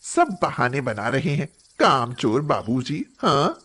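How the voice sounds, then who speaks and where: A woman's voice: rumbling low, wildly swooping pitch, slow pace, a bit bright, slightly rough, heavily acted. A woman playing a bossy, cruel young daughter-in-law in a moral story cartoon, with a nagging, sarcastic, haughty manner. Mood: dismissive.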